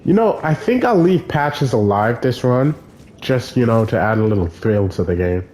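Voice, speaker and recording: A man's voice: medium pitch, lively intonation, moderate pace, darkish tone, slight background noise. A man streaming a video game, casual and joking, with a nasal, stuffy-nosed voice. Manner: joking, offhand. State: stuffy nose. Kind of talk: casual gameplay commentary.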